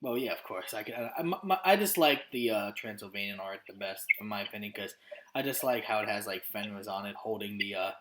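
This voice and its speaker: A man's voice: on the low side, fairly quick, fairly bright tone. A man from Romania chatting casually as interviewer, stammering and hesitant with lots of fillers. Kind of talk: casual chat.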